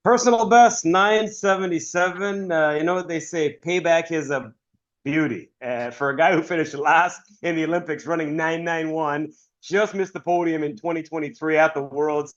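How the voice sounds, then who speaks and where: A man hosting a sports podcast with energetic, hype-announcer flair. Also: a little high, moderate pace, highs cut off. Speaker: podcast host. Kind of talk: upbeat show hosting.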